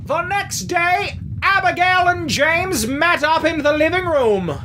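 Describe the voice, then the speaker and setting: High-voiced woman narrating slowly. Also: expressive, pushed and bright, a little hiss or hum. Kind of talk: playful storybook-style radio play narration.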